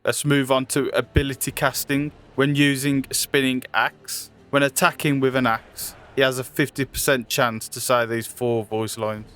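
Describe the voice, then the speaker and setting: A man's voice: medium pitch, flat delivery, moderate pace, hardly any pauses, fairly bright tone. A man reading scripted trivia narration as the narrator of a video. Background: music.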